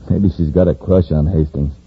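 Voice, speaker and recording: A man's voice: extremely low pitch, steady tone, measured pace, husky, full character voice. A man playing a dismissive, offhand criminal henchman in a 1950s radio serial. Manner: skeptical, offhand. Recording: dull old radio recording, faint noise.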